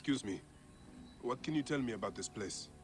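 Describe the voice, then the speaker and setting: An actor playing a steady, curious Egyptian warrior in a video game cutscene. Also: male voice, mid-range voice, fairly quick, natural voice. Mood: measured, probing. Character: steady, curious Egyptian warrior, a Medjay seeking entry to the arena. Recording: loud background music.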